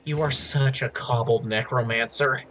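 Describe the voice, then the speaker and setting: A man's voice: medium pitch, fairly even intonation, normal speed, a bit bright, soft background music, voice pushed down, natural voice. A man voicing an irritable, theatrical male cartoon show host in a fan story. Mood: exasperated.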